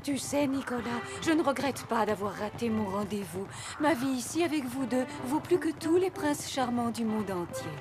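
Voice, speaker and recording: An actress plays a caring woman who looks after Santa in a children's cartoon. Her voice is high and very lively in pitch. She is expressive and fussy, with clear music underneath. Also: normal speed, few pauses, lightly acted. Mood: tender. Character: caring, fussy, devoted female helper of Santa. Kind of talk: animated cartoon voice acting in French. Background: background music.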